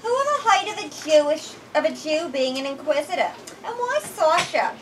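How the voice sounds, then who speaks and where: Woman doing a high, skeptical young sister. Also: sing-song, normal speed, fairly bright tone, distant mic, slight background noise, rough recording. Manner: skeptical, incredulous.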